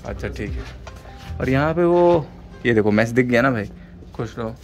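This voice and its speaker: A man's voice: mid-range voice, animated, moderate pace, faint music behind. A young man in his twenties giving a casual, joking campus tour, recorded outdoors on a handheld camera at arm's length. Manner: chatty, joking, asks lots of questions. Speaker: vlogger giving a hostel tour. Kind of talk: casual walking vlog chat. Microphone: handheld action camera at arm's length.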